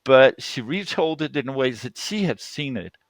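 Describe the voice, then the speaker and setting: An older man from Denmark with a Danish accent, telling personal stories in conversation and pausing to search for words on an online call. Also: mid-range voice, expressive, no echo. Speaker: guest storyteller.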